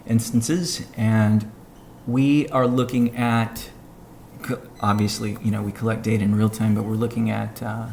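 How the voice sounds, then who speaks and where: A man giving a measured, businesslike update on a conference call, pausing between phrases as he reads out points and answers questions. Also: lowish voice, level tone, vocal fry, a little mellow. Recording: noisy conference call audio.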